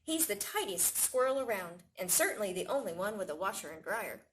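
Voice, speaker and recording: A woman reading a picture book aloud to young children in an expressive storytelling manner. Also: mid-range voice, a little fast, slight background noise. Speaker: library staff storytime reader.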